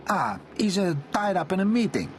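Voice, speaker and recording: A man's voice: high-pitched, very animated, normal speed, a little hiss or hum, pitched above the performer's own voice. A man voicing a huge, friendly, easygoing uncle with a hearty manner.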